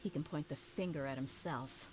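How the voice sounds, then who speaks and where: A woman voicing a tough but warm-hearted female desert mercenary, speaking steadily and earnestly. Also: deep voice, normal speed, a little hiss or hum, lightly acted, poor audio. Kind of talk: game voice acting.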